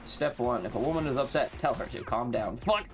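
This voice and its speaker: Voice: mid-range voice, animated, a little fast, faint music behind. An American game streamer giving casual live commentary, swearing often, exclaiming and repeating phrases. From North Carolina, US.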